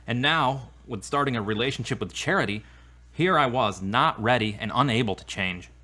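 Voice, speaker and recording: A man's voice: mid-range voice, moderate pace, pushed and bright. A man playing an earnest, sensitive grown son with a serious manner in an audio drama. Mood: earnest, confessing.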